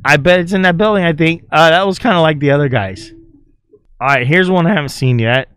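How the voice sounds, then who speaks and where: A man's voice: on the high side, a little fast, a bit bright, smooth, dry recording, clean studio sound. A man hosting a casual reaction video, commenting on game clips and playfully reading captions aloud. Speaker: YouTube host.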